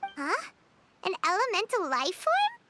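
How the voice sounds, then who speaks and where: A woman voicing a small, chatty, excitable female companion creature in a video game, shrill and bouncy. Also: unhurried, slight background noise, full character voice. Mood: chatty, curious. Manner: chatty and eager. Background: game music.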